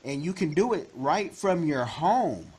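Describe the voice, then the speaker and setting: A man hosting an interview, chatty and advising, with jokes and loud laughs. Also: fairly high pitch, sing-song, measured pace, slightly rough. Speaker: interview host. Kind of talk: casual interview chat.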